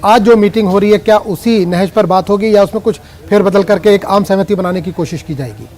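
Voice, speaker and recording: A male news anchor with a high-set, mellow voice. He reads the news and puts questions in a formal, measured broadcast manner.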